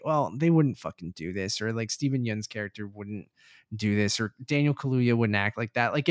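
A man co-hosts a casual film review in a very dry-sounding space. His voice sits at an average pitch. He rambles with enthusiasm, swearing as he circles around his points. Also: no room sound. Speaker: podcast co-host reviewing films.

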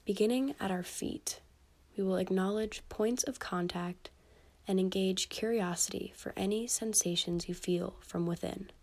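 A woman with a mid-range voice guides a bedtime meditation. She reads gently and soothingly, in short measured phrases. Pauses separate her instructions. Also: mid-range voice. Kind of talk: guided sleep meditation, scripted.

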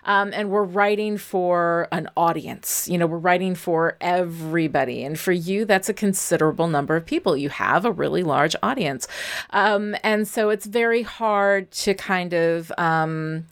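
A woman's voice: fairly low pitch, moderate pace. Woman coaching in casual conversation. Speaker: writing coach.

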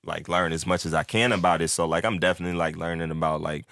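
Casual guest: young man, very low voice, bright and dry, rambling talk full of filler. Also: fairly even intonation, fast, vocal fry, no echo. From born in Turkey, raised in the United States. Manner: loose, rambling, heavy filler, acts out quoted friends. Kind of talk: casual chat.

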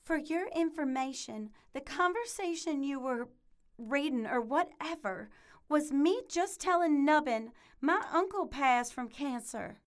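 A woman's voice: high voice, lively intonation, measured pace. A woman playing a curt, indignant young small-town woman in a comic audio drama, snippy.

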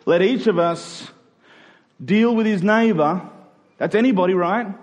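Higher-voiced man preaching conversationally. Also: unhurried. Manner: asks rhetorical questions with check-in tags like "right?" and "okay?". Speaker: pastor preaching to a congregation. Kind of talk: conversational expository sermon.

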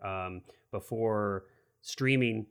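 A man in his forties with a somewhat low voice hosts a relaxed conversation about movies. He rambles eagerly, circling back and restarting his sentences as he thinks aloud. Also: extremely slow, clean tone. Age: 40s. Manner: rambling, enthusiastic, many restarts. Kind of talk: casual film discussion.

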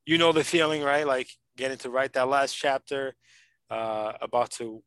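Man from Brazil, thirties, earnest casual talk, dry close sound, mid pitch. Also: a bit bright, smooth, dry recording. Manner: earnest, fast, many fillers and restarts. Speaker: podcast host, PhD student. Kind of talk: casual conversational interview.

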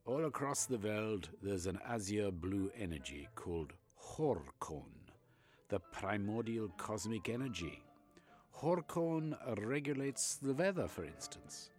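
A man voicing a flamboyant, pushy middle-aged German doctor with a German accent, excitable and lecturing. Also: lowish voice, slow pace, faint music behind, pitched above the performer's own voice.

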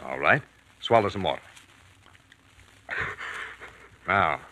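An actor playing a middle-aged factory doctor in a 1940s radio drama, gruff, paternal and authoritative. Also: male voice, sits low, animated, slow, bright, forceful tone, heavily acted, rough recording. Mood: soothing, firm. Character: brisk, paternal male factory staff doctor.

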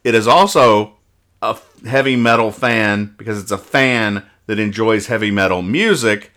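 A man with a low, forceful, bright voice hosts a casual podcast. He talks in loose banter, over-explaining in a mock-earnest way and often repeating himself as he goes. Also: moderate pace. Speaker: podcast host. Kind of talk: casual comic-strip review banter.

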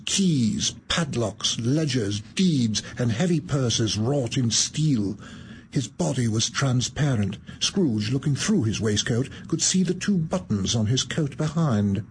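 Measured male radio-play narrator. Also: mid-range voice, animated, slight background noise. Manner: measured, evocative, deliberate. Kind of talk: dramatic storytelling narration.

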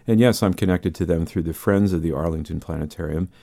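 An older man with a baritone voice, explaining and telling stories as the guest in a conversational radio interview. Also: fast, gravelly, warm tone, no echo. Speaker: interview guest, space scientist and musician.

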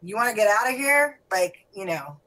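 A woman's voice: mid-range voice, big pitch swings, normal speed, a bit bright, poor audio. A middle-aged woman and singer talking in a rambling, casual way, laughing often and using many fillers. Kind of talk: casual conversation.

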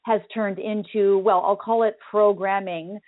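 A woman's voice: medium pitch, fairly even intonation, a little slow, no room sound. A woman hosts a call-in radio interview, enthusiastic and a little rambling as she reflects on her guest's answers. Her voice sounds dry and close, with narrow, phone-like sound. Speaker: radio host.

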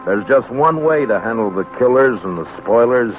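A man announcing an old-time radio drama with a booming, dramatic delivery. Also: lively intonation, moderate pace, soft background music. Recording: dull lo-fi vintage radio recording.

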